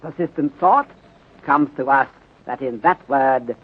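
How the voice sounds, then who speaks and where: Through a narrow, muffled 1930s radio recording comes a courteous Chinese detective. He reasons calmly in formal, clipped sentences, pausing with care. The voice is middling in pitch and slightly rough. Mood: polite, assured. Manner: formal clipped phrasing with dropped articles, deliberate pauses. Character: courteous, methodical Chinese male detective inspector, calm and deliberate. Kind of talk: 1930s radio drama acting.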